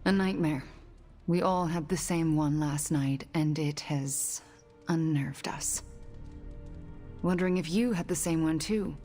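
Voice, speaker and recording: An actress playing an uneasy, guarded ally in a video game, speaking hesitantly with hushed phrase endings. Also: female voice, sits low, unhurried, soft background music. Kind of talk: video game cutscene acting.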